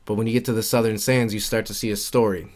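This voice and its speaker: A man's voice: fairly low pitch, little pitch movement, fast, darkish tone. A man giving casual, instructional walkthrough commentary for a video game, informal and repeating his key points. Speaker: game guide creator and narrator.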